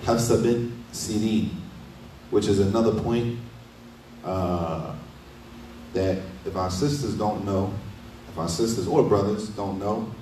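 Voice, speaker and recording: A man's voice: on the low side, takes it slowly, pauses often, dark tone, slight background noise. A middle-aged man giving a casual Islamic lecture, asking rhetorical questions and often adding "right?". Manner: conversational, asks rhetorical questions with frequent "right?". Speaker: imam giving a lesson. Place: small indoor study room. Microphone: desk mic on a stand. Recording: echoey room, mic at a distance.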